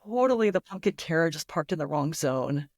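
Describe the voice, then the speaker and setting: Woman writer getting interviewed, thinks out loud, into it, really dry sound. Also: low voice, animated, fairly quick, dry recording. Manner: reflective, enthusiastic, illustrates points with imagined examples. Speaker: guest writer. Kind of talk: thoughtful interview talk.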